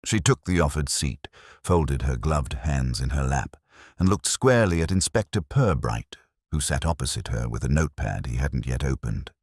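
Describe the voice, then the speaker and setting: A man's voice: a bass voice, lively intonation, normal speed, a little mellow, slightly rough, dry recording, studio quality. A man reading scripted narration for a mystery story.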